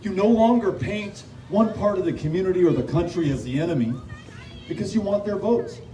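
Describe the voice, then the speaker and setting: Man, slightly high voice, earnest town hall speaker, halting off-the-cuff delivery, roomy distant sound. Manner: earnest, halting with repeated words. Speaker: political speaker answering audience questions at a town hall. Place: park pavilion, community meeting. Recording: roomy, distant live-stream sound, loud background noise.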